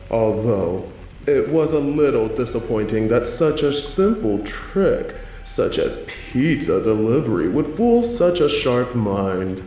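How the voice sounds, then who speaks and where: A man's voice: mid-range voice, mellow, slight background noise. Echoey theatrical supervillain man acting. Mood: mock-welcoming. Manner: theatrical, teasing, drawn-out emphasis. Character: theatrical male supervillain, playful, sinister, gloating scientist. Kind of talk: scripted audio roleplay villain monologue.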